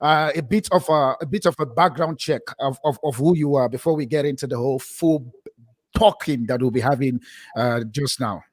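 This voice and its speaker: A man's voice: mid-range voice, normal speed, dry recording. A man hosting a live online interview, informal and stumbling, often repeating words as he searches for what to say. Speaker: host.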